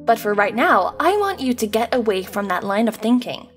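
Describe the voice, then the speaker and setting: A woman's voice: on the high side, very animated, normal speed. A woman playing a schoolteacher in a manga dub, putting probing questions to a class in an instructive way. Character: animated female schoolteacher posing questions to children. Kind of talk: scripted manga dub acting. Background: background music.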